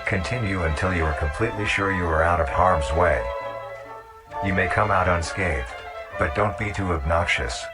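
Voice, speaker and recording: A man with a very deep voice reads scripted documentary-style narration. He is measured and instructional, and music plays clearly behind him. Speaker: narrator of a horror nature-guide video.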